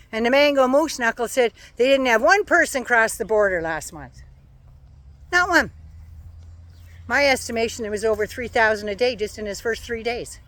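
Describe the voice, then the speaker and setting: A woman giving a sarcastic, profane political rant as a vlogger, her voice going hoarse. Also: fairly high pitch, fairly bright tone, no echo. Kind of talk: casual ranting monologue.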